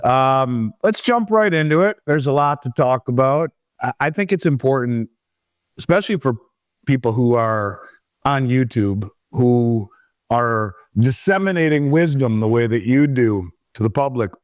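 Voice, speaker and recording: A male interview host with a smooth, resonant voice at a middling pitch, who opens with friendly remarks and long lead-ins before each question. He is recorded on a dry, close microphone. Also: animated, a little slow, no echo.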